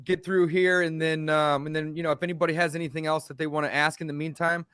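A man's voice: fairly high pitch, flat delivery, fast, fairly bright tone, smooth, dry recording, clean studio sound. A man hosting a podcast, running a Q and A in an upbeat, encouraging way. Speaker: podcast host.

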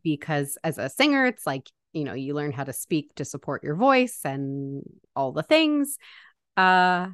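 A woman's voice: low-pitched, sing-song, a little slow, no room sound. A woman hosting a casual podcast interview, bubbly and chatty with frequent laughs and fillers, recorded on a close podcast mic. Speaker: podcast host.

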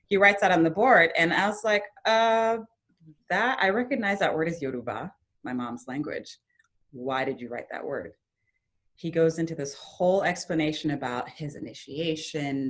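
Podcast guest, female, low register, earnest storyteller who livens up on quoted lines. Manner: reflective, earnest, lively when quoting her younger self. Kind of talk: personal storytelling in a conversation.

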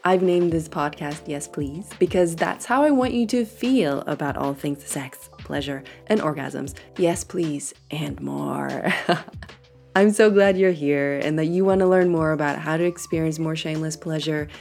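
A woman's voice: deep voice, measured pace, gravelly, a little mellow, soft background music. A woman in her thirties with a congested nose, hosting a solo podcast in a frank, chatty way with fillers and the odd laugh. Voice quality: nasal from congestion. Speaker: podcast host and sexuality coach.

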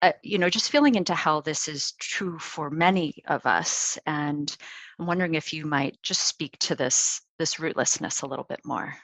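A woman with a low voice hosts a podcast interview. She asks her questions gently and often gives soft, affirming agreement. The sound is dry and close. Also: normal speed, no room sound, rough recording. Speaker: podcast host.

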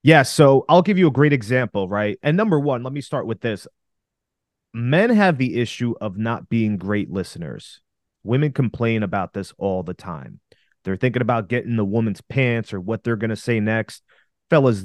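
A man's voice: mid-range voice, darkish tone, no room sound, studio quality. A man co-hosting a podcast, coaching male listeners on dating with emphatic, direct advice. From Russia. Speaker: podcast co-host.

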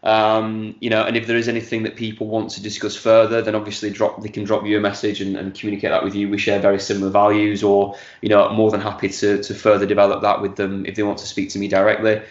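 A man's voice: lowish voice, completely flat delivery, quick pace, hardly any pauses, reverberant, lo-fi sound. A man, chatting as a podcast guest and often repeating words as he thinks. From northern England. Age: 40s. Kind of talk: casual interview chat.

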